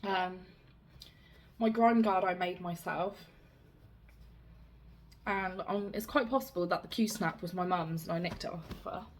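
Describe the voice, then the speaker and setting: A young woman with a slightly raspy voice, chatting casually as she shows her cross-stitch projects. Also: lowish voice, measured pace, long pauses, a little hiss or hum. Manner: chatty, rambling, self-deprecating. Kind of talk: casual show-and-tell chat.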